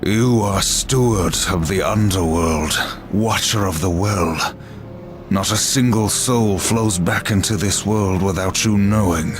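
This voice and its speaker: Stoic warrior horseman, cold terse lines, low voice, game score under. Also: music in the background. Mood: stern. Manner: terse, cold, slow and flat. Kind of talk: video game cutscene acting.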